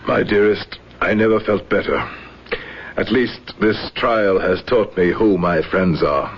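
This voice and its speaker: An actor in an old radio drama playing a proud, embittered, ailing army general, grave and formal. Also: male voice, low-pitched, a little slow. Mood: bitter. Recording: muffled, noisy old radio recording.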